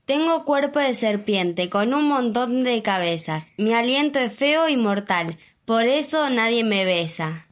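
A woman's voice: a little high, expressive, a little slow. A woman with an Argentine accent voicing a bored, love-starved many-headed serpent in a rhymed storybook reading. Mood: self-pitying. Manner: reciting rhymed verse. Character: bored, foul-breathed female many-headed serpent monster, longing for kisses.

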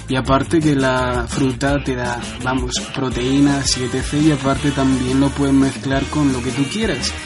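A man from Colombia with a Spanish accent, answering a question in a slow, measured way as if thinking aloud. His pitch sits in a plain middle range. Clear music plays underneath his recorded message. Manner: measured, thoughtful, ends with a laugh. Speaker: guest contributor.

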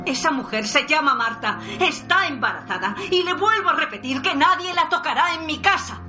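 An actress playing a defiant, protective mistress of a country house in a radio drama, speaking with indignant insistence. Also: female voice, high-pitched, lively intonation, moderate pace, music in the background.

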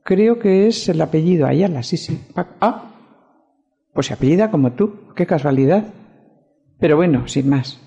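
A woman's voice: deep voice, expressive, normal speed, long pauses, warm tone. A woman with a Spanish accent playing a firm, defensive lawyer in an amateur radio soap opera. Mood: casual, weary. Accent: Spain.

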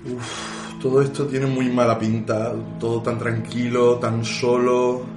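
A man's voice: mid-range voice, flat delivery, takes it slowly, lightly acted. Man playing a wary adventurer in echoey room. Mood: uneasy. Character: wary, grumbling male adventurer who knows the land. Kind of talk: fantasy radio play acting. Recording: echoey room, faint music behind.

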